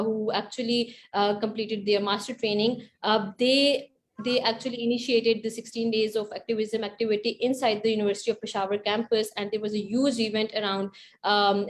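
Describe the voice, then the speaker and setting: A woman's voice: on the high side, a little hiss or hum. A woman with a Pakistani accent speaking as a panelist in an explanatory style. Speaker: panelist, project grantee.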